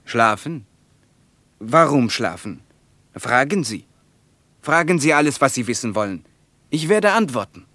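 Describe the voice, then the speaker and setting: An actor in a German radio play, playing a guarded, teasing young Russian teacher and reserve lieutenant. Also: male voice, mid-range voice, big pitch swings, a little slow, pauses often, bright, forceful tone, dry recording, full character voice. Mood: teasing, provocative.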